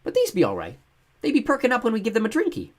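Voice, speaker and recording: A man voicing an excitable young boy who talks in rough, broken dialect. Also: very high voice, fast talker, pitched above the performer's own voice, heavily acted. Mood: excited. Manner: breathless, gleeful, rough dialect grammar.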